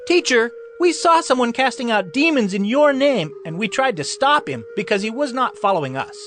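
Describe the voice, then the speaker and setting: Earnest male disciple played by a man, lively pitch movement, audio Bible drama, faint music. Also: moderate pace, full character voice. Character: earnest male disciple reporting to his teacher.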